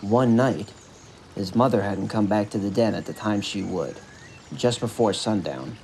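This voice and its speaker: A man's voice: on the low side, moderate pace, gravelly, noisy background. A man narrating a scripted story for an audio drama. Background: music.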